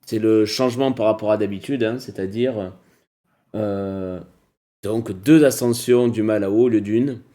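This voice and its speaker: A man's voice: lowish voice, unhurried, dark tone. A man co-hosting a cycling podcast, talking casually with many hesitations and restarts.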